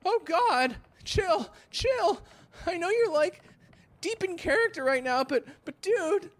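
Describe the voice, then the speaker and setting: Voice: extremely high pitch, animated, takes it slowly, heavily acted. An actor playing an anxious, tired new recruit in an audio drama, hesitant and pleading in casual modern speech. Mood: weary, pleading. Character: anxious, exhausted young new actor posing as sailor.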